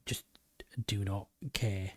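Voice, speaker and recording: A man's voice: on the low side, little pitch movement, takes it slowly, no room sound, studio quality. A man with a Geordie accent hosting a podcast, chatting casually and often restarting his sentences. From England. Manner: rambling, many restarts. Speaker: podcast host. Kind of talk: casual chat.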